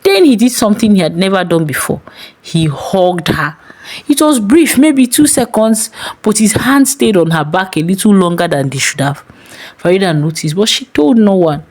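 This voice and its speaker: A woman with a Nigerian accent narrating a scripted story dramatically, with deliberate pauses. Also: mid-range voice, expressive, a little mellow.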